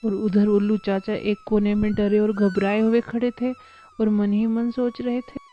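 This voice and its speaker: A woman's voice: mid-range voice, level tone, unhurried, highs cut off, soft background music. A woman narrating a scripted cartoon story for children.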